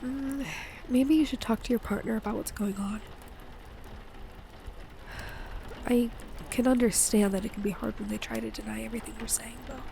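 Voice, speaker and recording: An affectionate, playful wife , acted by a woman in an intimate audio roleplay. Her voice sits fairly high and often crackles into fry. She talks gently and teasingly over faint background ambience. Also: fairly even intonation, fairly quick. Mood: caring. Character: affectionate, playful wife and mother sheltering a guest.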